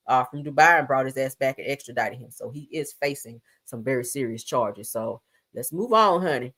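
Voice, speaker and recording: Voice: mid-range voice, fairly bright tone. A host giving casual live news and gossip commentary in a sassy, blunt, colloquial way, often addressing listeners directly.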